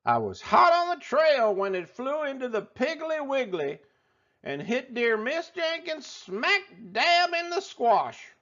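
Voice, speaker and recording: A man voicing a folksy, excitable restaurant owner telling a tall tale in a theatrical drawl for a children's storybook. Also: very high-pitched, slow, lo-fi sound, voice pushed up, exaggerated voice. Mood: folksy, excited. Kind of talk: animated children's storybook read-aloud.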